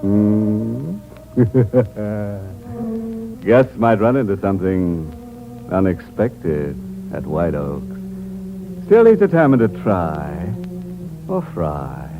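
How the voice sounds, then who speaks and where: A gloating, chuckling horror host. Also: male voice, on the low side, sing-song, exaggerated voice. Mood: sardonic. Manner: sardonic, chuckling, drawn-out delivery. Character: sly, gloating male host of a horror show. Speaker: macabre radio show host. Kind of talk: 1950s radio drama host. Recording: dull old radio recording with music.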